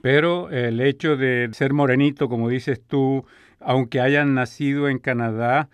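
A man's voice: medium pitch, fairly even intonation, measured pace, clean tone, no room sound. A man hosting a radio interview, talking conversationally and telling an anecdote. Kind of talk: casual interview.

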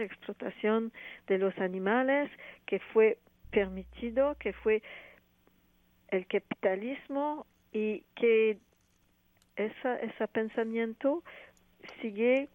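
A woman, a playwright and actress speaking Spanish as a second language, answering interview questions haltingly with many restarts. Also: fairly high pitch, dry recording. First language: not Spanish. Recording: tinny phone line.

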